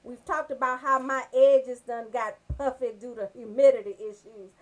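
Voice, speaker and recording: A woman's voice: high voice, moderate pace. A woman hosting a comedy radio show, delivering raunchy monologue and banter in a brash, emphatic way. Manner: brash, animated storytelling with emphatic check-ins.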